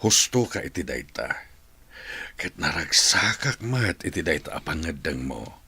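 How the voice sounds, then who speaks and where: A devoted suitor in an Ilocano radio play. His voice is very deep and gravelly, swinging expressively, with an Ilocano accent. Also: sing-song, measured pace, pushed and bright, dry recording, full character voice. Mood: agreeable, supportive. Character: devoted, obliging suitor of a woman. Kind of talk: Ilocano radio drama acting.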